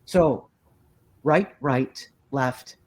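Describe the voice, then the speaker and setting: A woman's voice: very deep voice, very slow, exaggerated voice. A woman voicing an older, helpful park maintenance man in a memoir reading, with a plain, encouraging manner. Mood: easygoing, helpful.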